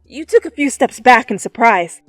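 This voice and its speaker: Animated, higher-pitched woman narrating a story. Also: moderate pace, dry recording. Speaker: fan fiction reader and narrator. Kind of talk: second-person dramatic story narration. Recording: close, dry, music behind.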